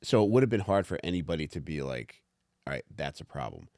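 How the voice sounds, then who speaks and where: A man's voice: very deep voice, very animated, fast talker, darkish tone, dry recording, studio quality. A man talking candidly and informally on a podcast, rambling a little and reading out his own written commentary. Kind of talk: casual podcast chat with read-aloud commentary.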